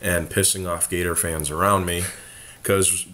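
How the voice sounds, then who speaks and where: Hoarse, very deep-voiced man. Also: little pitch movement, measured pace, pushed and bright. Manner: laid-back, joking banter. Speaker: podcast host. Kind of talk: casual sports chat.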